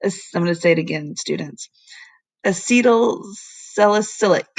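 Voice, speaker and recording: A woman with noticeable creak in her voice reads a children's storybook aloud as a teacher would. She is expressive and addresses her listeners in asides when she trips over words. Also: fairly low pitch, normal speed, poor audio. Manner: stumbles on hard words and comments aside to listeners. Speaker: elementary school teacher reading aloud.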